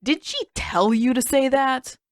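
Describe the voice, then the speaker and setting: A woman's voice: sits high, a little slow, no room sound, clean studio sound, voice pushed up. A woman voicing an indignant, stubborn teenage boy in an audiobook story, accusing and exclamatory.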